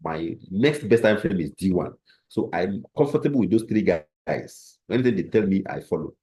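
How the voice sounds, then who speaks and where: A man's voice: low voice, animated, normal speed, dark tone. A man hosting a live trading class, bluntly answering viewer comments.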